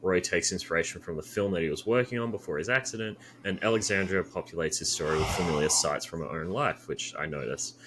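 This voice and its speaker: A man with a very low voice chatting on a movie podcast. He teases his co-hosts, laughs easily and reads passages aloud at an unhurried pace. A faint hiss sits under the recording. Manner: teasing, laughs often. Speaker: podcast co-host. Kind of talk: casual chat, reading aloud from notes.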